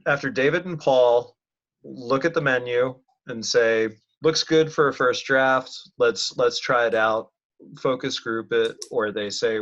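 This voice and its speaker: A man leading a casual work conference call, thinking aloud as he presents and often pausing to restart his sentences. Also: mid-range voice, fairly bright tone. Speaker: meeting leader presenting slides. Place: online video conference call. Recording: muffled, lo-fi conference call audio.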